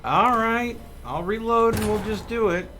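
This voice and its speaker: High-pitched man, musing game streamer. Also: a little slow, noisy background. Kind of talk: casual live gaming commentary.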